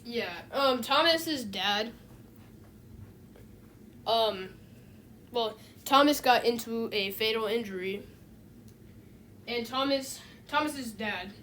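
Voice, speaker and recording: A woman with a fairly high voice talks off the cuff, as in a homemade video essay. Her delivery is halting and rambling, with phrases repeated and restarted. The recording carries some noise behind her. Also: a bit bright. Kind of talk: casual unscripted video essay commentary.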